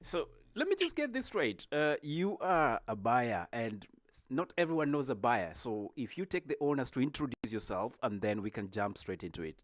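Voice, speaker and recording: A man hosting a political discussion, speaking in a measured way with frequent fillers. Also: mid-range voice, sing-song, no room sound, studio quality. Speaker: host.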